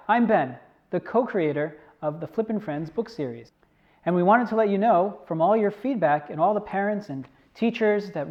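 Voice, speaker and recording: High-voiced man, dull recording. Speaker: book series co-creator promoting resources. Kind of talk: enthusiastic promotional message. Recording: dull, lo-fi sound.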